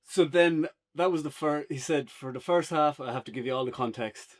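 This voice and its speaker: A man's voice: on the high side, brisk. An Irish man chatting casually and unscripted as a podcast co-host reviewing a show. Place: indoors on a couch, podcast setup.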